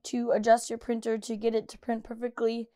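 A young woman with a slightly hoarse voice chatting casually through a product review, full of ums and uhs, recorded on a studio mic in a small room. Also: on the high side, completely flat delivery, brisk, dark tone. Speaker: 3D printing reviewer. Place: small indoor room with 3D printers. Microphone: studio mic with pop filter.

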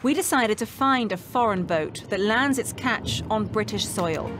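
A woman delivers scripted documentary narration as a news reporter. Her pitch sits in the middle range for a woman, and music plays clearly behind her. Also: unhurried. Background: background music.

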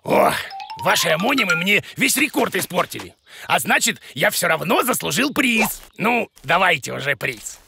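High, swooping, blustering cartoon creature. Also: male voice, very animated, normal speed, creaky, music in the background, exaggerated voice. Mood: huffy, stubborn. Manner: self-important, exclamatory, theatrical. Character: blustering, boastful, clueless bearded creature teacher. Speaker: cartoon teacher. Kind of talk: animated children's cartoon voice acting.

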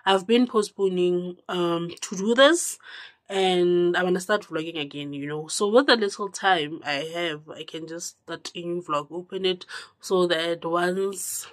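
Young woman vlogger, congested, unhurried low chat to camera in a small room. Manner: chatty, with drawn-out words and sudden drops to a low murmur. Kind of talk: casual direct-to-camera chat.

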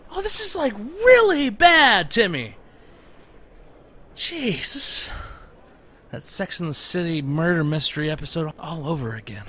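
A man's voice: high-pitched, big pitch swings, a little slow, long pauses, sharp tone, breathy edge, full character voice. A man playing a crude, callous, flippant bully in a comedic audio drama, mocking and given to muttered asides. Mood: exasperated.

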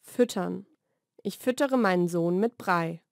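A woman narrates a scripted vocabulary lesson. She enunciates deliberately and in a measured way, moving between single words and short example sentences. The sound is dry and close, as in a studio voice-over. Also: fairly low pitch, gravelly, dry recording.

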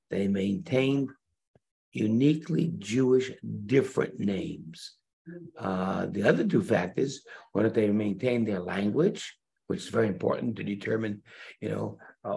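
An older man, a rabbi, giving an unhurried online Torah class, pausing often with fillers and restarts, speaking on a video call from a room by large windows. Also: mid-range voice, creaky, darkish tone, breathy edge. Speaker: rabbi teaching converts.

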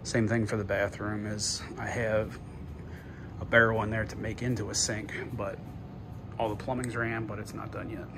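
A man casually narrating a walk-through tour of his basement game room, offhand and pausing often. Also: fairly low pitch, level tone. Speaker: homeowner giving a video tour. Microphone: handheld phone, behind the camera. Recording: noisy indoor phone video.

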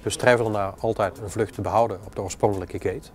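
Male, forties, low voice, matter-of-fact airport planner in documentary interview, light music underneath. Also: steady tone, fast talker. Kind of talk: documentary interview and work talk. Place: glass-walled airport control tower office.

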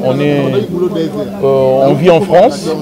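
Middle aged congolese guy getting interviewed outside, bit high voiced, keeps hesitating, loud chatter all around. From Democratic Republic of the Congo. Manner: hesitant, halting, repeats words. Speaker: interview guest, deputy mayor. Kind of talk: informal on-camera interview. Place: outdoors in a grassy garden, seated. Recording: outdoor recording with people chattering.

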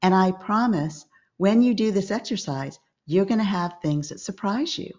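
An older woman, a business coach, speaking calmly and instructively as a guest in a video-call interview. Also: medium pitch. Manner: calm, measured and instructive. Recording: slightly dull video-call audio.

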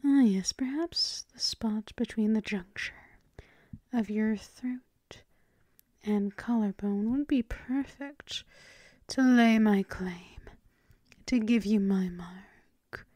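A woman voicing a seductive, dominant vampire mistress in a breathy, teasing, mostly whispered ASMR roleplay. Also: mid-range voice, darkish tone, no room sound. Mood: coaxing, seductive. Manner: breathy, slow, teasing, coaxing. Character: seductive, dominant vampire mistress doting on her pet thrall.

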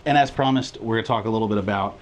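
Low-voiced man giving a casual ship tour. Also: very fast, a little hiss or hum. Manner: easygoing, chatty, laughs between remarks. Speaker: travel vlogger co-host. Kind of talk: casual walking tour narration. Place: aboard a cruise ship, indoors and on open decks.

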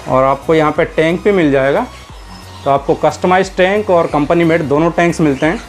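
A man's voice: on the high side, brisk, soft background music. A man, casually narrating a walk through an aquarium and pet shop, chatting to viewers as he points out stock and prices. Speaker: aquarium hobbyist vlogger.

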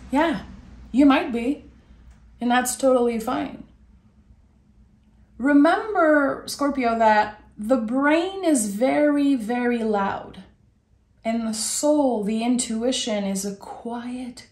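A woman with a raspy voice giving a gentle, soothing tarot reading. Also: a little high, extremely slow, long pauses, roomy sound. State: raspy voice today. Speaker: tarot reader.

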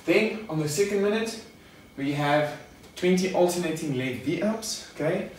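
A man's voice: high-pitched, lively intonation, unhurried. Echoey, far-off young male fitness coach. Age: young adult. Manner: casual, instructional, addressing viewers as guys. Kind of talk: informal workout demonstration. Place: garage home gym. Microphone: camera a few metres away. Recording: echoey garage, distant mic, faint noise.

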